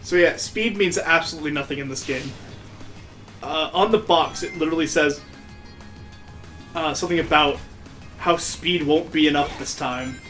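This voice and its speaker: A man with a slightly high voice gives casual commentary as he plays a video game. He gripes with amused sarcasm, and game music plays faintly behind him. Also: moderate pace, pauses often, fairly bright tone. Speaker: let's play video host.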